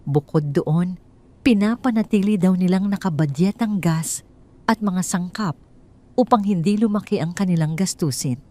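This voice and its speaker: Low-pitched Filipino woman narrating a story. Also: normal speed, dark tone. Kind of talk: scripted audio story narration.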